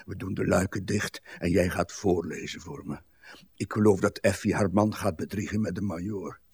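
An actor playing a grumpy, pedantic old husband, bitter and weary, in a radio play. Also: male voice, deep voice, moderate pace, creaky, a little mellow, husky, dry recording, heavily acted. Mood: sour. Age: older. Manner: irritable, complaining, pedantic.

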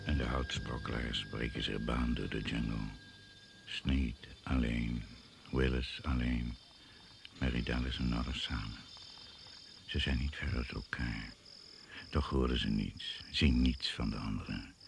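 A man narrating a radio drama gravely and ominously, in deliberate, incantatory short phrases, on an old recording. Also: extremely low pitch, fairly even intonation, background noise, heavily acted. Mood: ominous, measured. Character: grave, ominous male narrator of a jungle tale.

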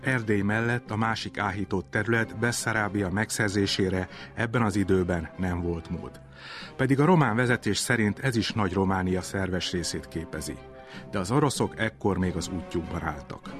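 A man's voice: lowish voice, soft background music. A man delivering scripted historical narration as a documentary narrator.

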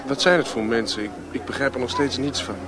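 An actor playing a weary, sick opium smoker in a radio drama, speaking in halting, muttered phrases. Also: male voice, mid-range voice, close to an everyday voice. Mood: puzzled. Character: weary, sick man, an opium-smoking outsider. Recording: noisy radio play recording.

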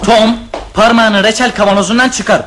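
Bossy big brother: high youthful pitch, brusque, noisy old radio recording. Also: male voice, steady tone, normal speed, fairly bright tone. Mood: bossy, irritated. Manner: brusque, commanding. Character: bossy eldest son ordering his siblings. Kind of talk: Turkish radio theatre acting.

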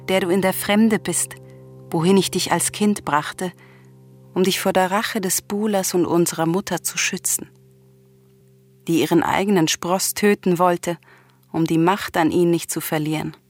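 An actress playing a grieving, vengeful princess of ancient Greece in a radio tragedy, lamenting in solemn, declamatory speech. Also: female voice, on the low side. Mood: vengeful pleading. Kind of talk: classical tragedy radio drama acting. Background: music.

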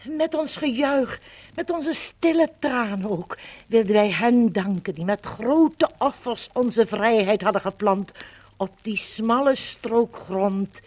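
An actress playing an older Dutch wife who recalls liberation solemnly and theatrically in a 1950s radio docudrama. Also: female voice, on the high side, takes it slowly, a little mellow. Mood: moved, solemn. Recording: noisy vintage 1950 radio recording.

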